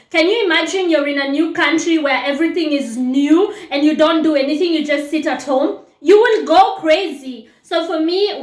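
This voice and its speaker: A woman from Kenya, giving chatty, teasing dating advice straight to her viewers. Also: high-pitched. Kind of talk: casual advice monologue to camera. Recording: echoey room.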